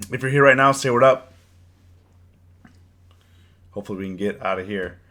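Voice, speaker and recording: A man with a cold and a hoarse voice, hosting a casual live stream and chatting with his viewers, often repeating his words. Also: mid-range voice, moderate pace, pushed and bright. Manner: rambling, repeats words, reads chat aloud. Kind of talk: casual live stream chat about trading.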